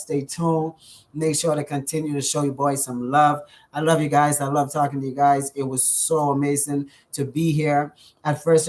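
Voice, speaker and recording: A Bahamian man vlogging casually to his viewers, effusive, full of thanks and shout-outs, with frequent ums. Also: a little high. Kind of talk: casual direct-to-camera vlog.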